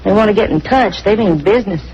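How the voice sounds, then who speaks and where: Actress as a chatty waitress on muffled vintage radio. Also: female voice, medium pitch, fast talker, a little mellow, lightly acted. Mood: disapproving, frank. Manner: chatty, colloquial. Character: gossipy, world-wise waitress, loyal to her friend. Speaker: actress in a radio crime drama. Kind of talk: old-time radio drama acting. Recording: dull, noisy vintage radio recording.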